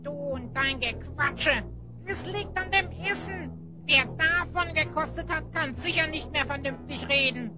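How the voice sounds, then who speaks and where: A small, chattering fantasy sidekick in an audio drama. The voice is extremely high and squeaky. It grumbles cheekily and sounds naive. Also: measured pace, over music. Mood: grumbling. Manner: chattering, complaining.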